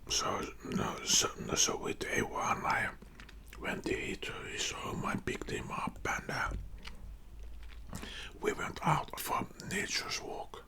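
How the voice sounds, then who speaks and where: Whispering Finnish-accented man, slow and halting. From Finland. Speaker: ASMR video creator and support worker. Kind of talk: whispered ASMR, casual personal storytelling.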